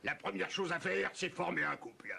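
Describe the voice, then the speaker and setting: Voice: mid-range voice, expressive, normal speed, creaky, sharp tone, husky, exaggerated voice. An actor voicing a brusque, bossy griffin in a French-dubbed cartoon.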